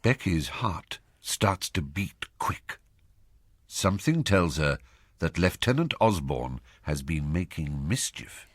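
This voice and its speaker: An actor playing a wry, knowing narrator of a radio period drama, husky, deliberate and suspenseful in delivery. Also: male voice, deep voice, sing-song, no room sound, clean studio sound, full character voice.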